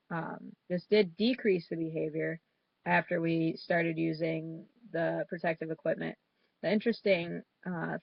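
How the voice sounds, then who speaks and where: A woman's voice: on the low side, vocal fry, dry recording, muffled recording. A young woman with a head cold and a stuffy nose, informally presenting a student project report with some hesitant fillers.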